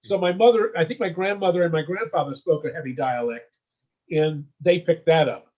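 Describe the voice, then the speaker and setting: A man from the United States in a casual interview, rambling warmly and tagging his sentences with "okay?" and "you know?". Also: on the high side, brisk, phone-quality sound.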